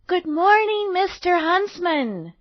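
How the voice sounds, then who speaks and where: A woman voicing a sweet, polite little girl with a high childlike voice, on a dull lo-fi recording. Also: very animated, slow, no room sound, heavily acted. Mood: cheerful.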